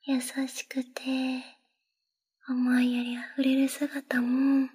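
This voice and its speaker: A young woman with a Kansai accent voicing a clingy, possessive, lovesick young woman in a breathy close whisper for an ASMR roleplay. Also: sits high, completely flat delivery, very slow, mellow, full character voice. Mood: adoring.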